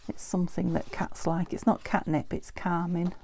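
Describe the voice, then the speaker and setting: A British woman hosting a crafting vlog, croaky from a cold, chatting and muttering to herself as she works. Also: lowish voice, flat delivery, normal speed, a little mellow, slight background noise. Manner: muttering to herself while working, often dropping to a whisper. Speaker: crafting channel host.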